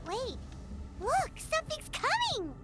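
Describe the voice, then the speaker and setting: A woman voicing a tiny, excitable, childlike fairy companion in a video game, squeaky and earnest. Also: takes it slowly, full character voice. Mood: alarmed, eager. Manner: exclamatory, earnest. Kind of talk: English video game voice acting. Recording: music behind the voice.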